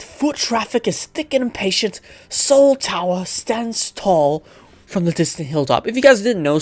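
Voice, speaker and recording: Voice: medium pitch, a little mellow, no room sound. A gamer narrating a visual novel aloud, stumbling over the text and breaking into rambling asides. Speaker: let's player reading a visual novel aloud. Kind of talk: gaming commentary and read-aloud narration.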